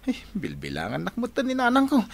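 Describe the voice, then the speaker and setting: A man with a Northern Philippine accent playing a playful, smitten young son in a radio drama, teasing and expressive. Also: extremely high pitch, fairly quick, creaky, heavily acted. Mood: friendly, teasing.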